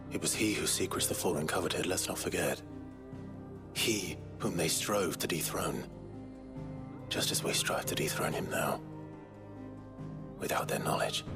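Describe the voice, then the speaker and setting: An actor playing a grim, weary, brooding leader in a dramatic video game, speaking in a dry, measured way. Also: male voice, deep voice. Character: grim, weary male leader haunted by power.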